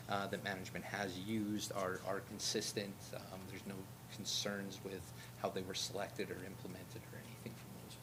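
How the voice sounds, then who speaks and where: Low-voiced guy walking a council through finances, kinda droning, loud rumble behind him. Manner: steady, explanatory, calls own voice droning. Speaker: auditor presenting financial statements. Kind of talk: presenting to a town council. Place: council meeting room. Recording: meeting recording with loud background rumble.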